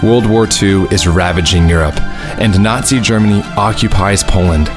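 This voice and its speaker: A man's voice: low-pitched, normal speed, music in the background. A man narrating a radio drama in a grave, dramatic scripted read.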